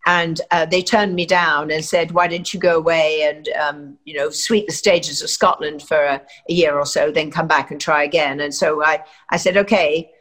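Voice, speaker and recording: A woman's voice: deep voice, fairly even intonation, moderate pace, hardly any pauses, fairly bright tone. An older woman from England, an actress, giving chatty, rambling interview answers full of fillers and restarts.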